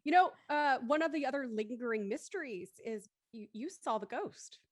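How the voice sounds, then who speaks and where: Dry-sounding, higher-voiced woman hosting. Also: lively intonation, normal speed, no room sound. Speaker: host and moderator of a cast discussion. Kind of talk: casual moderating of a group chat.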